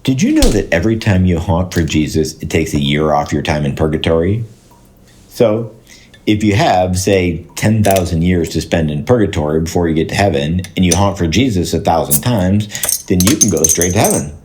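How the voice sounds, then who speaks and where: An actor playing an earnest, gentle plumber who rambles and hesitates, in naturalistic audio drama dialogue. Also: male voice, sits low, lively intonation, background noise. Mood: earnest, musing. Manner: hesitant, halting, digressive.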